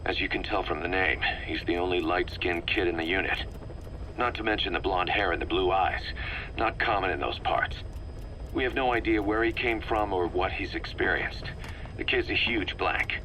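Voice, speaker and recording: A male actor voicing a stern, pragmatic military subcommander in a video game, speaking in a brisk, matter-of-fact, clipped way on a taped briefing. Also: low voice, pushed and bright, low-quality recording. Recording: cassette recording with background noise.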